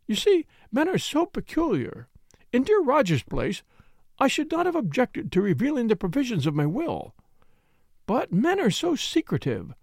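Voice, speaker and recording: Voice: mid-range voice, huge pitch swings, moderate pace, vocal fry, a little mellow, dry recording, studio quality, voice pushed up, full character voice. A reader voicing a fussy, tearful lady who talks in a fluttery, rambling, self-pitying way. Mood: flustered. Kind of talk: audiobook character reading.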